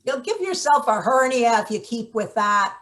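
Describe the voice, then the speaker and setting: An actress plays a bossy senior maintenance worker in a satirical stage drama. Her voice sits a bit high, and her delivery is dry and sardonic. She comes across as a wry schemer who takes charge. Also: big pitch swings, normal speed, a bit bright. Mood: dismissive. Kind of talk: live theatre table read.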